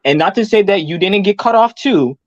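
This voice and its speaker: A young man in his twenties talks fast over a dull, lo-fi call connection, mediating between two others. He stumbles and restarts as he goes, and the audio is dry. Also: sits high, animated, no room sound. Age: 20s. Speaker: panelist. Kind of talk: online panel discussion.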